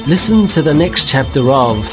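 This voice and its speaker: Measured wry male narrator over music. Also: on the high side, sing-song. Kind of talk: scripted narration.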